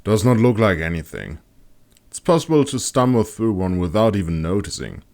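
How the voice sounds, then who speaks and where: A man's voice: lowish voice, very animated, normal speed, darkish tone. A man voicing an experienced, wry mercenary captain with a matter-of-fact manner in an audiobook. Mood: explaining.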